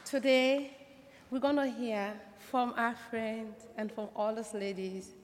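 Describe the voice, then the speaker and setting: A woman speaks to a congregation in testimony style. Her voice sits a little high, and a long hall reverb trails her words. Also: steady tone, takes it slowly. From Africa. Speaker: church member introducing speakers.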